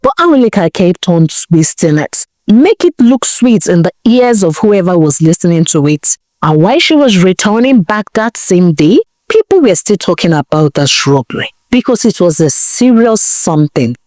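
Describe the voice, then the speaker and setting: A woman telling a village folktale in a dramatic, expressive way, now and then asking the listener questions. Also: on the low side, normal speed, few pauses, no room sound. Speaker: storyteller. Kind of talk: folktale storytelling narration.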